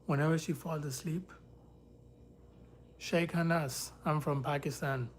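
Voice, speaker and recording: A man from India casually hosting a livestream, chatting loosely with viewers, sometimes dropping to soft whispers. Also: on the high side, steady tone, unhurried, a little hiss or hum. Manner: rambling, shifts to soft whispers near sleeping baby. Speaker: livestream host.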